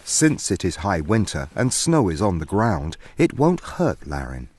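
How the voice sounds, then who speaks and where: Deep, expressive male storyteller. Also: measured pace, breathy edge. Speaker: story narrator. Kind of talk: scripted fantasy story narration. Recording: music behind.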